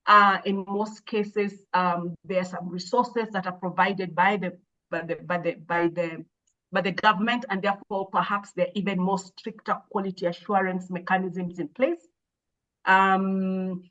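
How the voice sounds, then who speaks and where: A woman, an education expert, answering interview questions in a measured, thoughtful way with frequent hesitations and restarts. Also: medium pitch, fairly bright tone, dull audio. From Kenya. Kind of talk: explaining in an interview.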